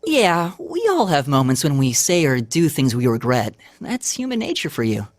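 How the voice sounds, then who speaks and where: A gentle, boyish teenage lad, with an American accent. He is polite and friendly, with bouncing, expressive intonation, recorded dry for a game. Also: a little high, normal speed, no echo. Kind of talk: video game voice acting.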